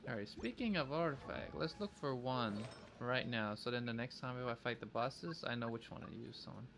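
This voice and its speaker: A man's voice: medium pitch, moderate pace, creaky. A man doing casual livestream gameplay chat, thinking aloud with playful, childish wording. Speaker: game streamer. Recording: faint background noise.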